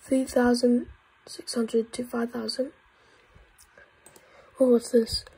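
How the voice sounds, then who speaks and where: A woman with a blocked nose and a croaky voice, giving excitable gameplay commentary with frequent whispered asides. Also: fairly high pitch, slow, low-quality recording. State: congested, croaky voice. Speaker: gaming YouTuber.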